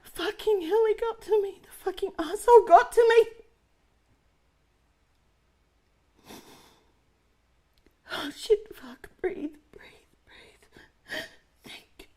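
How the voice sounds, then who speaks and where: A woman's voice: fairly high pitch, lively intonation, a little slow, pauses often, a little mellow. A woman playing a frazzled, swearing forty-something woman. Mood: panicked. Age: 40s. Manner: swears heavily, talks to herself. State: panicked, short of breath. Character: frazzled forty-year-old woman, profane, self-scolding. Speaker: adult content creator. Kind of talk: dramatic monologue.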